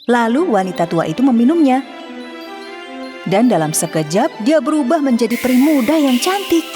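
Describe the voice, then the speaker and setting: A woman narrating a children's cartoon story in a calm, clear storytelling manner. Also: on the high side, normal speed. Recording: music behind.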